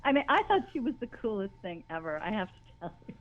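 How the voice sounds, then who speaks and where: An older woman with a high voice, chatting in an interview as a guest, talking in long rambling runs full of restarts and laughs. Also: very animated, fast talker, highs cut off. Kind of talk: casual interview chat.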